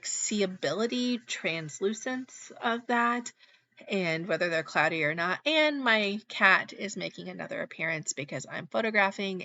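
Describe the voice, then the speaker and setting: A woman in her thirties hosting her own channel, chatting casually in a voice-over, her voice slightly hoarse after being sick, with frequent ums. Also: lowish voice, sharp tone, lo-fi sound. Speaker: channel host, reseller. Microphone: voice-over mic.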